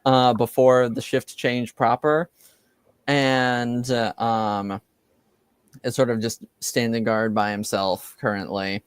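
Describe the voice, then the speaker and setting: A man improvising narration as the game master of a tabletop game, haltingly searching for his words. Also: medium pitch, level tone, clean tone, no room sound, clean studio sound.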